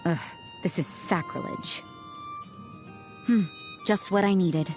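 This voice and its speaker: Low-voiced, aloof young churchwoman. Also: slow pace, a little mellow, soft background music. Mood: coolly savoring. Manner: dry, unimpressed, languid. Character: cool, aloof, world-weary young churchwoman. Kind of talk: video game character voice acting.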